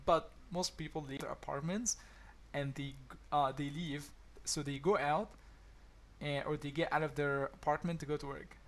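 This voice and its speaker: A man's voice: a little high, steady tone, moderate pace. A man from Egypt with an accent between Egyptian and American, chatting casually to viewers about daily routines, with many restarts and fillers. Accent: Egyptian-influenced English, deliberately between Egyptian and American. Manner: hesitant, many restarts and ums, chuckles often. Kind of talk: casual teaching talk to viewers. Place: home apartment.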